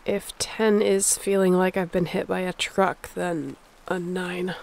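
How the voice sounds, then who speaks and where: A woman's voice: lowish voice, flat delivery, slow, vocal fry, a little mellow, a little hiss or hum. A woman playing a sick, stubborn survivor of a zombie apocalypse in an audio roleplay, speaking in a weary, conversational way. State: feverish, under the weather.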